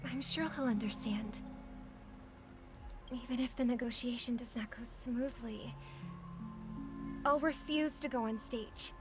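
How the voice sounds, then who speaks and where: An actress playing a gentle, caring young singer in a video game, polite and earnest. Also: female voice, on the high side, a little slow, pauses often, natural voice. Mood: earnest, resolute. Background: game music.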